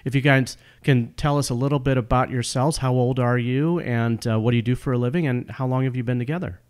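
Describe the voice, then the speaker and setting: A man's voice: mid-range voice, fairly even intonation, fairly quick, darkish tone, smooth. A middle-aged man hosting a podcast, introducing the show and its guests and putting questions to them. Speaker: podcast host, couples therapist.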